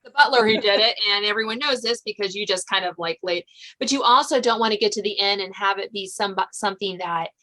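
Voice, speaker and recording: A woman's voice: medium pitch, brisk, pushed and bright, low-quality recording. A woman hosting a podcast in casual conversation, talking emphatically and expressively as she explains. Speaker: podcast host, suspense author. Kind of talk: casual interview chat.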